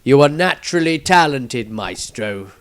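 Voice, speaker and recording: Storybook elder wizard, voiced by a male narrator: mid-pitched, deliberate, formal, sympathetic authority. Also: animated, fairly bright tone, dry recording. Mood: approving. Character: old male wizard patriarch, stately, measured, sympathetic.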